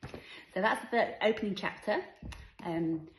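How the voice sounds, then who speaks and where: A woman's voice: medium pitch, slow, reverberant, a little hiss or hum, low-quality recording. A woman reading her own novel aloud as scripted story narration.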